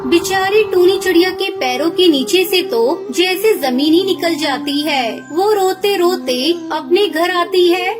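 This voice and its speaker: A woman narrating a children's cartoon story in a clear, measured way, with music behind. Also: very high-pitched.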